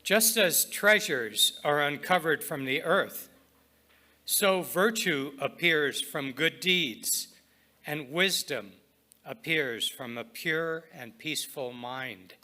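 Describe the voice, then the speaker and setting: Male devotional speaker, bright and forceful tone, unhurried reverent phrasing with deliberate pauses, for service readings and prayer. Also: fairly high pitch. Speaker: spiritual practitioner leading readings and prayer at a service. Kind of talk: inspirational readings and spoken prayer.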